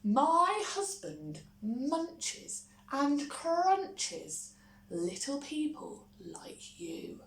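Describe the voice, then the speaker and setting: A woman voicing a brisk, bossy but helpful giantess in a storybook, with firm emphasis. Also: high-pitched, big pitch swings, pitched above the performer's own voice, exaggerated voice. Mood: warning. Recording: roomy echo, faint background noise.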